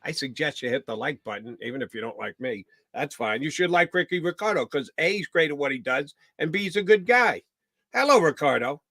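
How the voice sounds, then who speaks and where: A man with a bright, forward voice hosts a casual sports talk show. He jokes at his guest's expense and builds long, rambling questions. His voice sounds dry and close. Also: on the high side, animated, a little fast, no echo.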